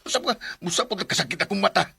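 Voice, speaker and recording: An actor playing a stubborn, complaining seventy-year-old farmer in a radio drama, with a Visayan Philippine accent and folksy way of talking. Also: male voice, high voice, expressive, fast, creaky, pushed and bright, slightly rough, soft background music, full character voice. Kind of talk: radio drama acting with first-person narration.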